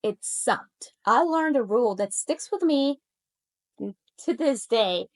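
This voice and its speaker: A woman in her thirties from Colombia, a native Spanish speaker with a Colombian accent, telling her own language-learning story in an expressive, animated way. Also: fairly high pitch, slow pace. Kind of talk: personal storytelling to camera.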